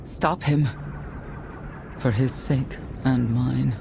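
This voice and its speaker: A protective warrior mother in a game drama, older and grave. Her voice is heavily creaky and terse, over faint background noise. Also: extremely low pitch, steady tone, extremely slow, full character voice. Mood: grave, resolved. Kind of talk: video game drama acting.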